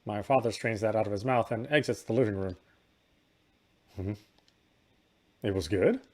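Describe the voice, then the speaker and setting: A man's voice: fairly low pitch, moderate pace, a little mellow. A man reading a visual novel's narration and dialogue aloud over game music. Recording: music playing behind the voice.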